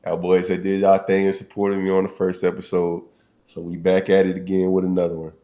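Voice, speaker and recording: Very low-voiced young man hosting a podcast. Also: monotone, brisk, warm tone. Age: young adult. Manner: laid-back slang, laughs often while telling stories. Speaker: podcast host. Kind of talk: casual chat.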